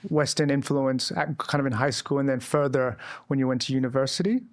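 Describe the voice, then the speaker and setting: A man's voice: mid-range voice, fairly even intonation, fairly quick. A middle-aged man hosting a podcast interview, friendly and asking questions with lots of "kind of". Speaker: podcast host, company CEO. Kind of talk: casual interview chat.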